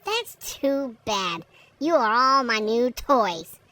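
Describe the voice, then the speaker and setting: Man putting on a high, taunting villainess. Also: very animated, pushed and bright, heavily acted. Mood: mock pouting. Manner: taunting, drawn-out, sing-song. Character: playful, menacing female villain with a blade.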